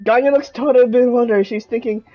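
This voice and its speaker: A woman's voice: fairly high pitch, fairly even intonation, quick pace, muffled recording. A woman streamer giving casual commentary while playing a video game. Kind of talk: casual let's-play commentary. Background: game music.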